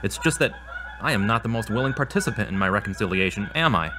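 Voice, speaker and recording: A man's voice: on the low side, lively intonation, quick pace, background noise, close to an everyday voice. A man acting as a troubled, self-doubting therapy patient in a scripted audio drama, speaking in an earnest, confessional way. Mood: humble, penitent. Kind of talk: scripted audio drama dialogue and spoken prayer.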